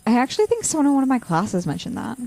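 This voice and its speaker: A woman's voice: a little high, wildly swooping pitch, rapid-fire, gravelly, a little mellow, no echo, full character voice. A woman playing a sarcastic young college student villain in a naturalistic audio drama, with a dry, teasing manner. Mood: casual. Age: young adult.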